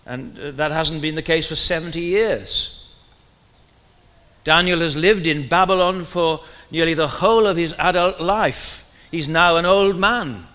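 A man's voice: on the high side, a little slow, pushed and bright, big-room sound. A man with a Welsh accent preaching a sermon in a conversational way, often asking his listeners questions.

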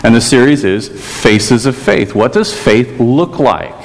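A man from Chicago with a Chicago accent, preaching a sermon as a guest preacher, telling stories gently and earnestly with some "uh"s. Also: medium pitch, expressive, slow, gravelly, in a large hall, a little hiss or hum, rough recording. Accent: American, Chicago. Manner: storytelling, gentle and earnest, with filler "uh"s. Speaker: guest preacher, theology lecturer. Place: church.

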